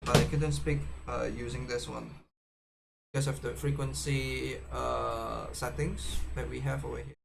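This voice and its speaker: A man presenting a casual, unscripted how-to demonstration, haltingly, often repeating and restarting his phrases. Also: mid-range voice, little pitch movement, music in the background. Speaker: tutorial presenter. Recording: clearly noisy background ambience.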